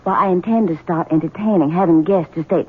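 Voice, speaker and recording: An actress playing a scheming wife who is haughty and mocking, in old radio-drama style. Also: female voice, on the low side, fast, slightly rough. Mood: imperious. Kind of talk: 1970s radio drama acting. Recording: tinny old radio broadcast.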